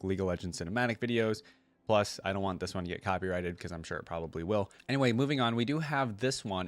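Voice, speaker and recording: A man's voice: fairly low pitch, dry recording. A man hosting a reaction video, giving informal, conversational commentary with rambling asides.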